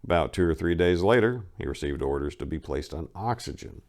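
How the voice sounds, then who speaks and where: A man's voice: sits low, lively intonation, a little fast, a little mellow. A man narrating his own memoir in a casual first-person reading, wry and blunt, with crude humor.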